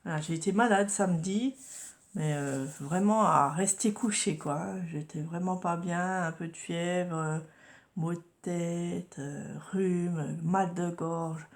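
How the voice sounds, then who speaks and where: A woman's voice: on the low side, fairly even intonation, slow pace. A woman hosting a casual crafting vlog, hesitant with many fillers, sounding stuffy from a cold and sore throat. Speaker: craft channel host.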